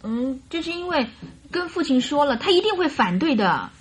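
A naive young bride-to-be in a radio play, with a high voice. She tells her story earnestly and chattily, with little hesitations, over music. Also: sing-song, normal speed. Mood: shy, earnest. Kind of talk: radio drama acting.

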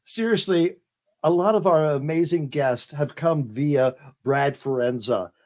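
A man's voice: fairly high pitch, unhurried, darkish tone. A man hosting a casual interview as a drag queen, with an effusive manner.

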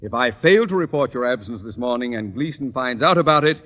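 An actor playing a pompous, blustering middle-aged school principal in old radio comedy style, sarcastic and theatrical. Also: male voice, mid-range voice, expressive, brisk, close to an everyday voice. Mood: smug. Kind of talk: 1940s-50s radio comedy acting. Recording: muffled old-time radio recording.

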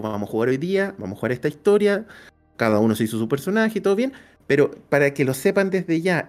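A man's voice: high-pitched, darkish tone. A man with a Chilean accent explaining things in casual conversation as a game master on a panel.

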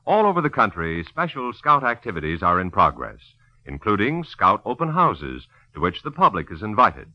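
A man's voice: on the low side, big pitch swings, normal speed, no echo. A man with an American accent reading scripted announcements in an emphatic, formal 1950s radio style. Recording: dull old radio broadcast.

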